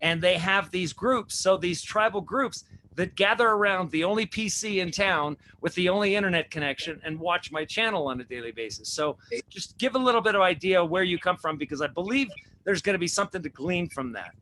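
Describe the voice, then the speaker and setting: A man's voice: high-pitched, brisk, bright, forceful tone, a little hiss or hum, rough recording. A man hosting a religious talk show, preaching with repeated rhetorical questions. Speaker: host.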